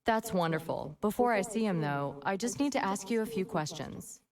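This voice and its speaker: Actress as a dogged FBI agent, courteous, probing, slightly distant on mic. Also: female voice, medium pitch, animated, normal speed, a little mellow, natural voice. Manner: polite, probing questions. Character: persistent female FBI agent. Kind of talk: video game voice acting.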